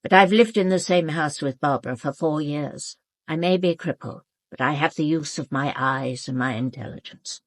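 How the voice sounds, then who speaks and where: An elderly upper-class English lady of the house in a radio drama. Her voice is low and husky, very close and dry. She speaks formally and with cool control, sharp-witted and cutting. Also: moderate pace, dry recording. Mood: cool, insistent. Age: older. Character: imperious, sharp-witted elderly aristocratic lady, bereaved mother. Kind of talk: British radio drama acting.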